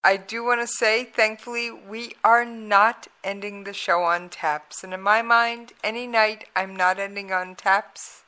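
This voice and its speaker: A woman's voice: mid-range voice, fairly even intonation, measured pace, hardly any pauses, pushed and bright. A woman hosting an internet radio talk show, chatty and folksy, who is losing her voice.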